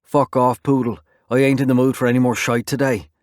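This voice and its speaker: A man voicing a furious young male fighter with a thick accent, snapping and shouting at a rival. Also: mid-range voice, level tone, brisk, no room sound, studio quality, voice pushed up, close to an everyday voice. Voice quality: thick heavy accent when angry. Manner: shouting, snarling.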